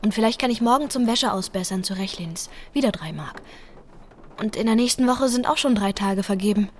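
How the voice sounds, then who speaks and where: An actress playing a hopeful, devoted young working wife in a radio play, gentle and reassuring in manner. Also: female voice, mid-range voice, fairly quick, a little hiss or hum, lightly acted. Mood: matter-of-fact. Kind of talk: German radio play acting.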